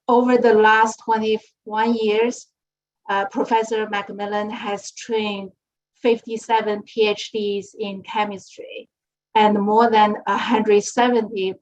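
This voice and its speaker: A woman moderator reading a formal speaker introduction in a measured way. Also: mid-range voice. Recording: muffled lo-fi audio.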